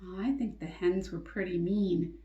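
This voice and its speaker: A woman's voice: on the low side, normal speed, muffled recording. Echoey woman teacher reading to children. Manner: expressive, questioning, explains simply to children. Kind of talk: interactive storybook read-aloud and lesson. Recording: echoey, roomy sound.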